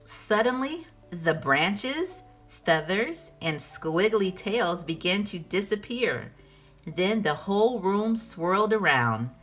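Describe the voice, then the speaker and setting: A woman narrating a children's picture book aloud in an expressive scripted storytelling style. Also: mid-range voice, slow pace, faint music behind.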